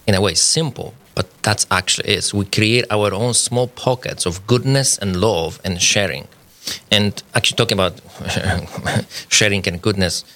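Deep-voiced man with a Polish accent. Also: bright, forceful tone. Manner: earnest, searching for words, non-native phrasing. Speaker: guest, scientist. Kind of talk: radio studio conversation. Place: radio studio.

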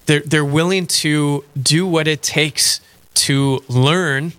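Bright-voiced chatty male radio host. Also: on the high side, steady tone, slow, clear, resonant tone. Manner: chatty, self-deprecating, laughs easily. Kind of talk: casual faith radio chat.

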